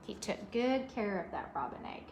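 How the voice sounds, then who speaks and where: A woman's voice: mid-range voice, big pitch swings, moderate pace, a little hiss or hum. A woman reading a picture book aloud to young children, expressively, with chatty asides and questions to her listeners.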